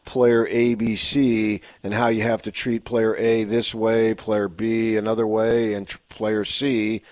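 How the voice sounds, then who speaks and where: A male sports radio co-host with a fairly low voice on a close, dry mic. He talks deliberately, laying out his interview questions piece by piece. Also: little pitch movement, darkish tone, no room sound.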